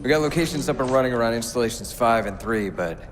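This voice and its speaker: An actor voicing an earnest young first officer giving military radio reports in a video game. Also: male voice, mid-range voice, lively intonation, in a large hall, soft background music, lightly acted. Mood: explaining. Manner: brisk military radio talk. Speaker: naval commander.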